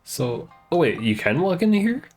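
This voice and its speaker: A man's voice: a little high, very animated, normal speed, a little mellow. Casual male game streamer over music. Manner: offhand, slangy, murmured reactions. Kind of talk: casual live gameplay commentary.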